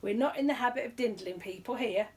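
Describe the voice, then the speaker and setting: A woman's voice: on the high side, fast talker, a little mellow, voice pushed up, close to an everyday voice. A woman voicing a pompous, grudging male television quiz host, stiff and condescending, in a storybook reading.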